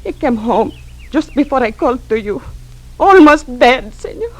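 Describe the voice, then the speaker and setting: Worried old spanish mama, thick with feeling, old radio detective show. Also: female voice, sits high, animated, moderate pace. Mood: desperate. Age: older. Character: distraught older Spanish-speaking mother pleading for her son. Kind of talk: old-time radio detective drama acting. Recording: old radio drama recording.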